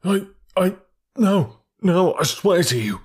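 A man's voice: medium pitch, measured pace, gravelly, a bit bright, breathy edge, full character voice. A man voicing a frightened male ex-servant accused of murder, who stammers and protests.

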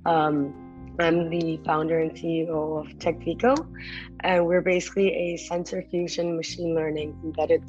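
Young founder lady from malaysia, podcast guest, lowish voice, kinda lo-fi audio. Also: darkish tone. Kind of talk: casual podcast interview.

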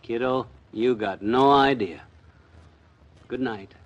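A man's voice: mid-range voice, slow pace, lo-fi sound. A man playing a blustering American husband in a 1950s radio comedy, a fellow who plays at being a policeman. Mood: wry. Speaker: comedy actor in a radio sitcom. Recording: old radio recording with faint noise.

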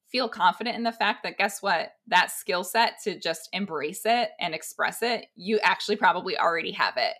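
Bright, slightly low-voiced woman podcaster. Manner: encouraging, conversational, voices imagined inner thoughts. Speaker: podcast co-host. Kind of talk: casual self-help podcast talk.

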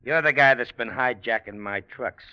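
An actor in an old-time radio drama playing a hard-boiled, evasive gangster with a tough, slangy, curt way of talking. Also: male voice, mid-range voice, normal speed, bright, forceful tone, clean tone. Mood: sardonic. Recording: dull old radio recording.

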